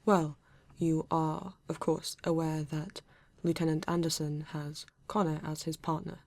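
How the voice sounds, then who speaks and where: A woman with a British accent playing a formal, reserved male android detective in an ASMR roleplay, speaking stiffly and deliberately with halting pauses. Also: low voice, little pitch movement, a little mellow, no room sound. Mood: formal, calm. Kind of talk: ASMR roleplay addressed to the listener.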